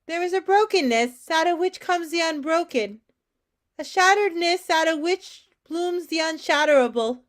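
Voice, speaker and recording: A woman playing a mother who fusses brightly in a sing-song call and can turn tender, in a staged play reading. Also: very high-pitched, animated, moderate pace. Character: mother, brightly nagging, later tender and wistful.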